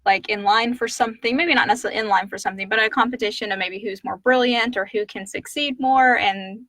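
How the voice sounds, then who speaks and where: A woman's voice: a little high, fairly even intonation, fast, a bit bright, dry recording. A woman co-hosting a casual live question-and-answer chat. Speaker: co-host.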